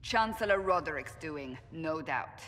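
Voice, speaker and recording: A woman's voice: deep voice, animated, a little slow, a bit bright, exaggerated voice. An actress playing a stern, forthright woman warrior in a video game, with a firm, formal, direct manner. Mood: resolute. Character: stern, forthright female warrior of a holy order. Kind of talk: video game dialogue acting. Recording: long hall reverb.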